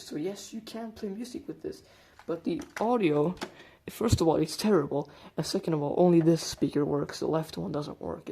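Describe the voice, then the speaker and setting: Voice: medium pitch, gravelly, warm tone, husky. A hobbyist presenter giving a casual hands-on demonstration, explaining things informally step by step.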